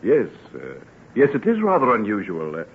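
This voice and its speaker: An actor playing a reserved, uneasy gentleman who speaks haltingly, burdened with guilt, in an old radio drama. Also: lowish voice, huge pitch swings, gravelly, full character voice. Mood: uneasy, guarded. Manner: hesitant, halting. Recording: tinny, hissy old radio drama recording.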